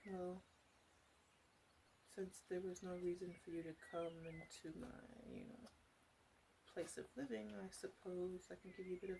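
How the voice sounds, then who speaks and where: A woman's voice: lowish voice, gravelly, warm tone, low-quality recording. Woman playing a teasing witch over background noise. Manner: hesitant, rambling, wry, with asides. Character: flirtatious, teasing female witch who sells potions. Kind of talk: ASMR roleplay, improvised soft chat to the listener.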